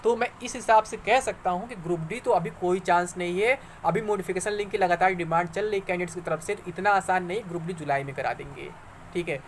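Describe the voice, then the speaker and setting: A man's voice: sits high, fast talker, a little hiss or hum. A man giving informal explanatory commentary to viewers, conversational and argumentative, repeating points for emphasis. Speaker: exam news YouTuber.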